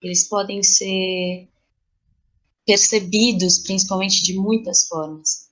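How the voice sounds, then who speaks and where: A woman's voice: medium pitch, fairly even intonation, takes it slowly, a bit bright, rough recording. A woman with a Brazilian accent speaking reflectively and conversationally as a playwright being interviewed. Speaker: playwright guest.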